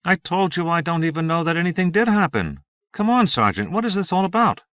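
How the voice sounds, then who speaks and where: Man acting a glib male suspect, breezy, protesting, raised pitch, forceful, audiobook drama. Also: big pitch swings, brisk, dry recording, highs cut off. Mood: feigned innocence. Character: glib, defiant male citizen under questioning.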